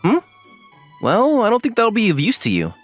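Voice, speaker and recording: A man's voice: high-pitched, huge pitch swings, moderate pace, full character voice. A man playing a young college student, ordinary, guarded and self-deprecating, in an anime-style dub with a hesitant, dry, puzzled delivery. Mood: dry, bemused. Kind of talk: anime-style dub with first-person narration and inner monologue. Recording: music behind the voice.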